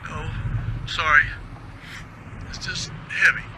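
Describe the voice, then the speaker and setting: An actor playing a thoughtful, candid late-night radio DJ in a game. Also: male voice, on the high side, fairly even intonation, slow pace, very noisy. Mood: earnest.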